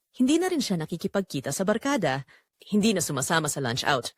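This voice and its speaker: A woman narrating a scripted romantic drama as storyteller, with dramatic, measured phrasing and pauses. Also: mid-range voice, dry recording, clean studio sound.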